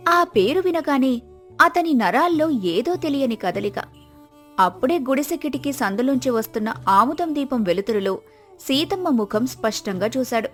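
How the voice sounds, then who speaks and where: A woman's voice: high voice, expressive, normal speed. A woman narrator telling a scripted moral story. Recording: music behind the voice.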